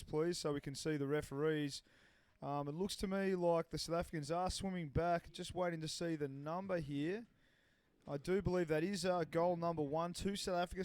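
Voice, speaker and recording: A man calls the match as lead commentator. His voice sits a little high and sounds smooth and clear. He talks in a chatty way with many "uh" pauses and keeps handing over to his co-commentator by name. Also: darkish tone. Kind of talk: sports commentary.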